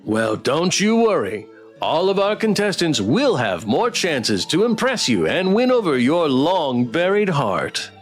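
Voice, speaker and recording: Animated, higher-voiced man streaming a game. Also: unhurried, soft background music. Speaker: streamer playing and voicing a visual novel. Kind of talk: playthrough read-aloud and commentary.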